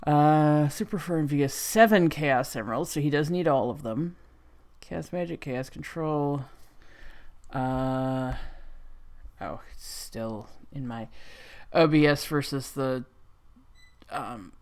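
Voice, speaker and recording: A woman giving casual reaction commentary, thinking aloud in a halting way. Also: deep voice, darkish tone. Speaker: reaction video host.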